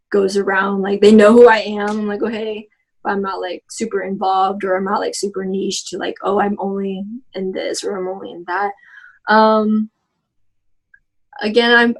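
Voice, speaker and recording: A woman's voice: medium pitch, poor audio. Chatty young woman, filler-heavy. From Texas. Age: 20s. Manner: casual, many "like" and "um" fillers, restarts. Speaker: interviewee. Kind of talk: oral history interview.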